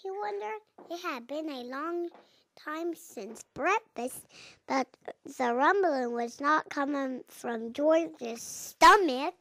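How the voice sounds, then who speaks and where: A four-year-old girl reading a picture book aloud, haltingly, sounding out and repeating words. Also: high voice, animated. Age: young child, four and a half. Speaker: child reader.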